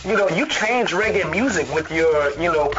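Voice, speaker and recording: A man's voice: fairly high pitch, animated, moderate pace. A man hosting a radio show, asking a guest questions in a laid-back, casual way with many fillers. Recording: dull lo-fi radio audio with loud music bed. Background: music.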